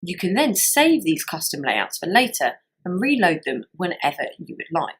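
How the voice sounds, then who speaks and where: Husky, lively woman giving software tutorial. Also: lowish voice, moderate pace. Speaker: software trainer. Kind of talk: scripted tutorial narration.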